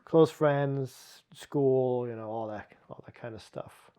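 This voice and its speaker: Mellow Swedish-accented man telling stories. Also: medium pitch, takes it slowly, smooth. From Sweden. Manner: talks with many restarts and "you know" fillers. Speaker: guest. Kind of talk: relaxed conversational storytelling.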